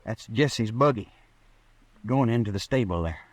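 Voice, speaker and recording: An actor playing a plain-spoken, anxious cowboy sidekick who speaks in brief rustic phrases in an old-time radio Western. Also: male voice, fairly low pitch, animated, normal speed, no room sound. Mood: startled.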